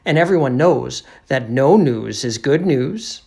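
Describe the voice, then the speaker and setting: A man voicing a serious, matter-of-fact female police detective from a children's storybook, deadpan and declarative. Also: mid-range voice, unhurried, vocal fry, slight background noise.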